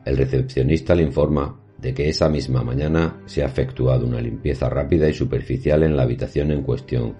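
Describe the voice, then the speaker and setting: Very deep-voiced actor as erudite professor, horror tale. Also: male voice, fairly even intonation, mellow. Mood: formal, factual. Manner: formal, measured, literary diction. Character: erudite male professor recounting his paranormal experiences. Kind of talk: scripted horror audio drama narration. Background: music.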